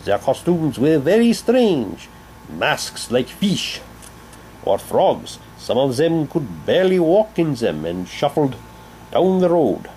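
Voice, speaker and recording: A man voicing an earnest French policeman in a put-on French accent, deliberately, as an amateur dramatic reading. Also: fairly high pitch, big pitch swings, mellow, slight background noise, low-quality recording. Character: earnest French male policeman quoted in bulletin.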